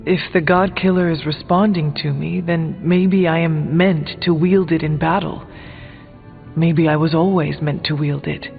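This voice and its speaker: An actor playing an earnest warrior hero in a superhero video game, with formal, deliberate speech. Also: male voice, fairly high pitch, fairly even intonation, gravelly. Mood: solemn. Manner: formal, deliberate, avoids contractions. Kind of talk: video game dialogue acting. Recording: thin narrow band, reverberant, music behind.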